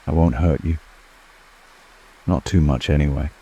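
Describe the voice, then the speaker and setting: Guy doing an ancient vampire for asmr, super low dark voice, slow n intimate, formal, seductive, kinda lonely. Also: lo-fi sound, full character voice. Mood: coaxing, predatory. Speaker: ASMR roleplay performer. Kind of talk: scripted ASMR roleplay.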